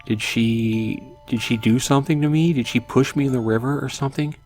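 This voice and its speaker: A man hosting a let's play, reading a visual novel aloud in a dramatic way and adding hushed asides. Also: medium pitch, fairly quick. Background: game music.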